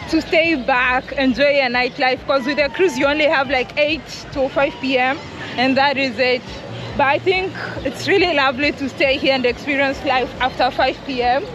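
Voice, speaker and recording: A woman's voice: high voice, background noise. A woman with a Kenyan accent chatting casually and playfully as a travel vlogger out on the street. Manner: playful, laughs often. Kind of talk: casual chat with passers-by and off-the-cuff commentary. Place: outdoors on a busy city street by traffic. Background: music, street crowd and vehicles.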